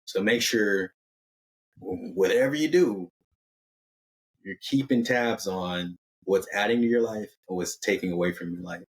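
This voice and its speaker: A man's voice: fairly low pitch, measured pace, close to an everyday voice, low-quality recording. A man plays a young guy who is a devoted friend in a told story. He talks in easy dude slang. He is earnest and encouraging. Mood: earnest, supportive. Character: loyal, encouraging young male buddy, laid-back dude.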